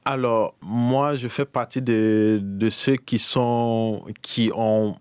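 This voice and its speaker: A man's voice: mid-range voice, steady tone, slow, darkish tone, smooth, no room sound. A young man from Burkina Faso being interviewed, speaking in a hesitant way with many restarts. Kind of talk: casual conversation.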